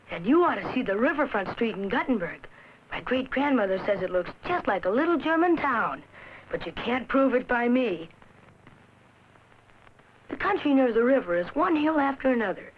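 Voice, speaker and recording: High-voiced woman as a chatty farm boy. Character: chatty Iowa farm boy narrating his letter. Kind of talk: scripted educational film narration. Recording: dull old film soundtrack, some noise.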